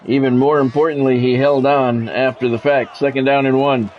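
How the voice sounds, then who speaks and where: A man's voice: mid-range voice, level tone, normal speed, muffled recording, slight background noise. A man doing play-by-play commentary on a football video game in a conversational way, with wry asides. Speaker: play-by-play announcer.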